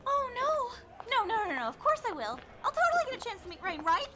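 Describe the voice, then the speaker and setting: A woman playing an excitable, dramatic teenage fangirl who gushes playfully, in an audio drama. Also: extremely high pitch, fast, heavy background noise. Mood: frantic.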